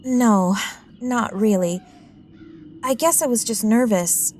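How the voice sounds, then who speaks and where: A woman's voice: fairly high pitch, slow pace, darkish tone, slight background noise. An actress in a radio drama playing a naive, nervous young lady with an anxious manner. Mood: sheepish, apologetic. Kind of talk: immersive radio drama acting.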